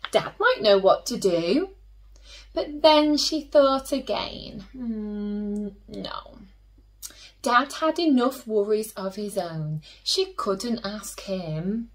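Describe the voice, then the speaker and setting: A woman who teaches and hosts story time, reading a picture book aloud to children in an expressive way, drawing out key words and dropping into hushed asides. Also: medium pitch. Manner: slow, animated storytelling with drawn-out emphasis and hushed asides.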